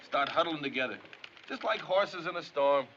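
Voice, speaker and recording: A muffled, noisy old film soundtrack carries a brash middle-aged American man. He is eager, folksy and wisecracking, with a lively voice that swings up and down. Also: a little high, normal speed. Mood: eager, chummy. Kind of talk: 1950s film acting.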